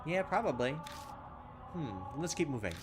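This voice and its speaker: A man's voice: medium pitch, very animated, normal speed, noisy background. A man playing a horror visual novel, reading its text aloud and thinking aloud with restarts, mildly exasperated at trial and error. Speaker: let's play host. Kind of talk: casual game commentary, reading text aloud.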